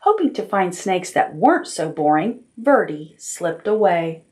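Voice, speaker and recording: A woman's voice: lowish voice, sing-song, a little slow, darkish tone, slight background noise. A woman narrating a children's picture book expressively, as a storytime reader at a library.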